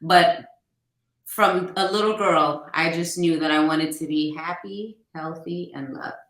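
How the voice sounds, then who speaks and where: A woman's voice: deep voice, a little slow, reverberant, slight background noise, low-quality recording. A woman in her thirties, originally from Guyana, telling fond childhood stories as an interview guest. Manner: warm storytelling with fond anecdotes. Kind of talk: casual interview.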